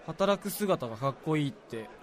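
Man playing an earnest, higher-voiced young office worker. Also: lively intonation, normal speed, a faint crowd, natural voice. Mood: earnest recounting. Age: young adult. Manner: deferential, confiding, apologetic. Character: earnest, self-doubting young male junior salaryman. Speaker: actor in a radio drama. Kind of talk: Japanese radio drama dialogue.

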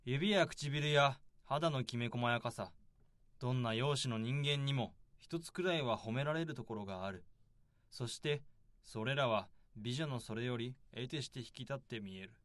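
A man plays a composed, philosophical listener in a radio drama. His medium-pitched voice is measured and polite as he muses and prompts. The sound is dry and close. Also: fairly bright tone, no echo, close to an everyday voice. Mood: musing, composed. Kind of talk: scripted radio drama dialogue.

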